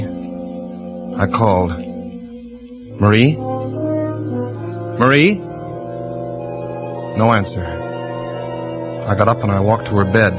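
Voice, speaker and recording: An actor playing an uneasy male insurance investigator who narrates his own story in halting, nervous speech, in a 1940s radio drama. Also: fairly low pitch. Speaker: narrator and lead character. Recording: lo-fi vintage radio broadcast with music.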